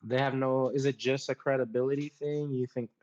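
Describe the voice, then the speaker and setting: Muffled mid-pitched man in panel chat. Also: steady tone, a little fast, a little mellow. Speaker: co-host of a gaming talk show. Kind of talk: casual panel discussion. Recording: dull, muffled lo-fi audio.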